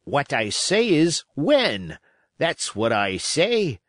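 A man reading a storybook, voicing an impatient, mutinous pirate coxswain who grumbles in rough sailor speech. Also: on the high side, wildly swooping pitch, slow, fairly bright tone, dry recording, clean studio sound, full character voice.

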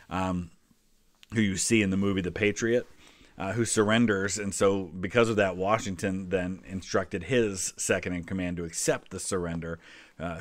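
A middle-aged man with an American accent talks in a low register. He explains things casually with chatty asides. The sound is dry and close to the mic. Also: no echo. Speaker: host reacting to a history quiz video.

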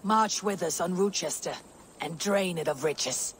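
An actor playing a stern Viking war leader, blunt and commanding, in a video game cutscene. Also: male voice, high voice, a little slow, fairly bright tone, slight background noise.

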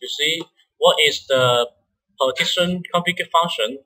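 A man who is a non-native English speaker presents a step-by-step software tutorial in a halting way, often repeating words. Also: fairly high pitch, poor audio. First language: not English.

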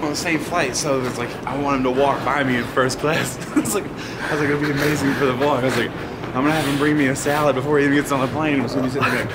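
A man's voice: medium pitch, fairly even intonation, quick pace. A man chatting casually with a friend in an airport, teasing and laughing. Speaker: vlogger's friend. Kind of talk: casual chat. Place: airport and plane cabin. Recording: loud background noise.

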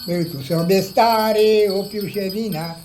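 A woman's voice: mid-range voice, unhurried, dark tone, soft background music. Older woman reciting folk carol verse.

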